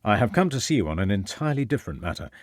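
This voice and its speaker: A man's voice: lowish voice, sing-song, very fast, no room sound, clean studio sound. A man voicing an earnest, composed male police inspector who speaks formally.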